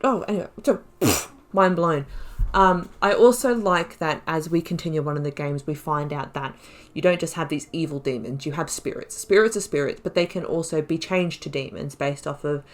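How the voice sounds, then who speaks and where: A woman with a slightly low voice hosts a gaming playthrough. She reads lore aloud at a measured pace and comments on it in a casual, musing way, leaning on words for emphasis. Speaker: gamer host of a playthrough series.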